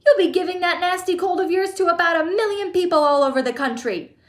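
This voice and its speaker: A woman's voice: very high voice, a little fast, voice pushed up. A woman reading a storybook aloud, voicing a bossy, hysterical mother who shrieks and scolds. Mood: scolding alarm. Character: shrill, hysterical, bossy mother of a greedy boy.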